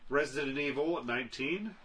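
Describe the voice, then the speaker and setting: A man's voice: mid-range voice, brisk, a crowd in the background. A man co-hosting a casual podcast, giving blunt opinions and reading out items. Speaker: podcast co-host. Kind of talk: casual chat, reading out a ranked list.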